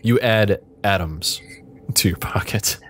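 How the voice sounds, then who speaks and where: A man's voice: on the low side, slow, background noise. A man acting as game master, narrating improvised interactive fiction in a playful, joking way.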